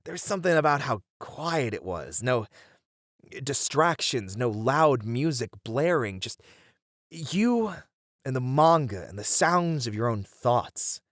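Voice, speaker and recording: A man's voice: mid-range voice, very animated, slow pace, fairly bright tone, no room sound, studio quality. A man playing a friendly young bunny boy, a chatty and welcoming manga cafe regular, in a casual ASMR roleplay. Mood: fond, nostalgic. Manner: chatty, easygoing, welcoming, with casual slangy hesitations.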